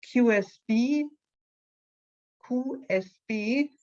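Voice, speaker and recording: Slow German-accented woman, muffled audio. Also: fairly high pitch, darkish tone. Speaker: language teacher. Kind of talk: reading out terms for learners. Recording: muffled online call audio.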